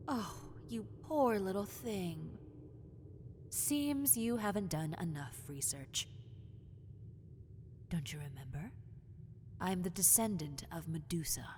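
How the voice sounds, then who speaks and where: Woman voicing a swooping, low-voiced, mocking lamia in reverb. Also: expressive, warm tone. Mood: taunting. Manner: condescending, seductive, drawn-out taunting, slipping into breathy whispers. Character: possessive, mocking female lamia, half-snake monster, teasing predator. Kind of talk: roleplay audio drama, addressed to the listener. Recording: long cave-like reverb, faint noise.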